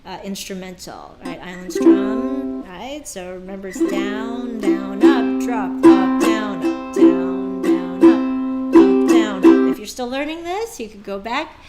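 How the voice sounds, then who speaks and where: Slow-talking woman teaching ukulele. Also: medium pitch, over loud music, rough recording. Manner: chatty, calls out strum patterns and chords. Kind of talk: casual live jam lesson. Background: ukulele playing.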